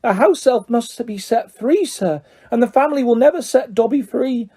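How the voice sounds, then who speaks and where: A reader voicing a small, servile male house elf with a high, squeaky voice who talks about himself in the third person. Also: animated, normal speed, mellow, husky. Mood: earnest, pleading. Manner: speaks of himself in third person, adds "sir".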